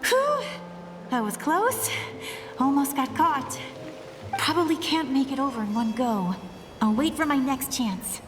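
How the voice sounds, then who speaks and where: A woman's voice: high-pitched, lively intonation, measured pace, pauses often. A woman voicing a stubborn, spirited younger sister from a tribe in a video game, with an insistent, plucky manner. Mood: eager, tense. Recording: game music behind the voice.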